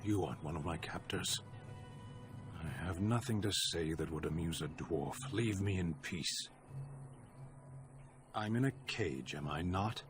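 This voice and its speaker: An actor playing a stoic, proud warrior prisoner in a fantasy game, speaking in a terse, solemn, formal manner. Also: male voice, deep voice, unhurried, pauses often, over music. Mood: grim, resigned.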